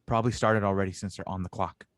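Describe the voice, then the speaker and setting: Casting: earnest young engineer hero man acting, determined, lower register, dry recording, audio drama. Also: steady tone, fast talker, dry recording, lightly acted. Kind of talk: audio drama table read.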